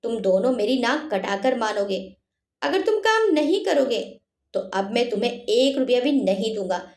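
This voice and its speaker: A woman's voice: fairly high pitch, sing-song, moderate pace, darkish tone, roomy sound. A woman voicing a doting but firm father, a village headman, in a told story. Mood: stern, angry.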